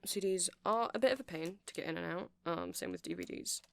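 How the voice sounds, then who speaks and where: A woman's voice: fairly low pitch, brisk, gravelly, fairly bright tone. A woman giving a rambling, chatty ASMR demonstration, explaining things step by step. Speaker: ASMR creator.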